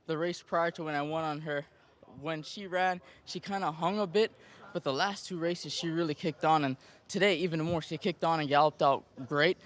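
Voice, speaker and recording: A man with a Jamaican accent, a jockey giving a relaxed, joking post-race interview in a soft voice. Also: fairly high pitch, moderate pace. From Jamaica and Florida.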